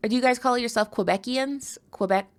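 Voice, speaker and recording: A woman's voice: fairly high pitch, fairly quick. A woman from the United States giving casual, playful live commentary on a card tournament, chatting and rambling into asides.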